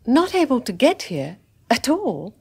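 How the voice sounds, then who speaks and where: An actress playing a polite, well-spoken young wife newly moved to the country in a radio drama. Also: female voice, fairly high pitch, huge pitch swings, unhurried, vocal fry, darkish tone, breathy edge, exaggerated voice. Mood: puzzled.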